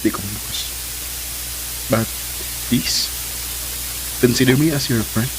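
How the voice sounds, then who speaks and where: Man acting lovesick suitor, radio drama, medium-pitched voice, imploring, sentimental, clear static noise. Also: slow pace, natural voice. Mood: pleading. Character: lovestruck, pleading male suitor. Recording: static-laden, noisy radio drama audio.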